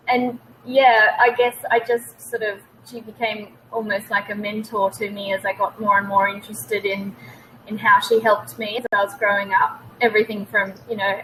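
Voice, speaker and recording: A woman's voice: mid-range voice, pushed and bright, a little hiss or hum, poor audio. An Australian-accented woman in her thirties, a guest telling her own story casually and a little hesitantly over a video call. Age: 30s. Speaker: interview guest, naturopath.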